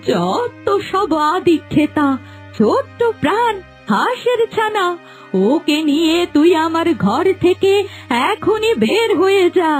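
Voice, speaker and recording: A woman's voice: extremely high pitch, sing-song, slow pace, soft background music, exaggerated voice. A woman voicing a stern older village mother-in-law in a cartoon, scornful, commanding and cruel. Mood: scornful anger. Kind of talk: scripted Bengali fairy-tale cartoon dialogue.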